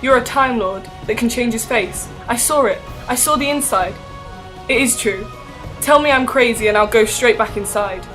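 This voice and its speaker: A woman's voice: on the high side, moderate pace, a bit bright, faint music behind, natural voice. A woman playing a plucky, stubborn young babysitter in an audio drama, speaking insistently.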